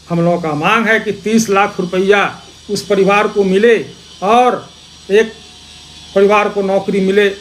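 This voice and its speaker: High-pitched man giving political speech. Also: a little hiss or hum. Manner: repeats phrases for emphasis; accusatory, rhetorical questioning. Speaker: opposition party politician.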